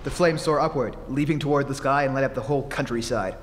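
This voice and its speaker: A man's voice: mid-range voice, fast, close to an everyday voice. A man playing a desperate, haunted fugitive in old-time radio horror, melodramatic and stammering, recorded on stage in a hollow, hissy hall. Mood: feverish awe.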